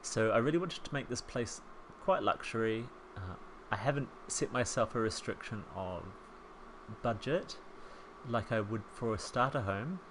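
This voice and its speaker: A man in his thirties with a soft New Zealand accent, chatting casually as a voice-over while he builds a home in a life-sim game, rambling and self-deprecating. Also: medium pitch, animated, moderate pace, a little hiss or hum, low-quality recording. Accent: soft New Zealand, softened by years in England. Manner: chatty, rambling, self-deprecating, with frequent ums and small laughs. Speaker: Sims speed-build creator.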